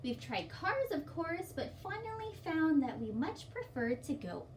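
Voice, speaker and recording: A woman's voice: sits high, sing-song, a little slow. A woman hosting story time for young children, reading a picture book aloud with simple, encouraging explanations and questions. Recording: distant mic, faint background noise.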